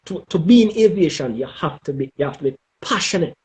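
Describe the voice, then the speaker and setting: A middle-aged man with a Jamaican accent giving advice in an interview, speaking emphatically and deliberately and often checking in with "okay?". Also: on the high side, lively intonation, darkish tone. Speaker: interview guest, former air traffic controller.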